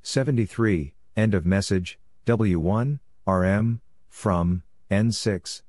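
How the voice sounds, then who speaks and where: Slow, low-voiced man reading out practice phrases. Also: a little mellow, dry recording, clean studio sound. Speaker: Morse code practice instructor reading transcripts aloud. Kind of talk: scripted practice readout in short phrases, letter by letter.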